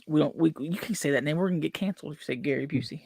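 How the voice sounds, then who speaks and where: A man's voice: fairly high pitch, racing, vocal fry, a little mellow, slightly rough, dry recording, clean studio sound. A young man from West Virginia with a Southern country accent, rambling through a casual movie chat with lots of fillers. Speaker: podcast co-host.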